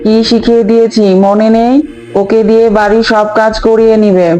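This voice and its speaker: A woman's voice: a little high, level tone, a little fast, dark tone, lo-fi sound, close to an everyday voice. A woman voicing a greedy, bossy older mother-in-law in a fairy-tale cartoon. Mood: bossy scolding. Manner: bossy, scolding, gloating. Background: background music.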